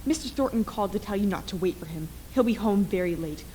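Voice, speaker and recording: A woman's voice: medium pitch, animated, fast, mellow, lightly acted, poor audio. A woman playing an eager, deferential, chatty housemaid in a radio play, with some noise on the recording.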